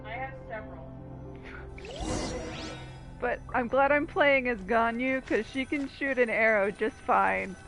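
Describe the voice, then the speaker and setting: A woman's voice: on the high side, measured pace, long pauses, a bit bright. A woman giving casual, teasing, wry commentary while playing a video game. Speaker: gamer commentator. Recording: game music faintly behind.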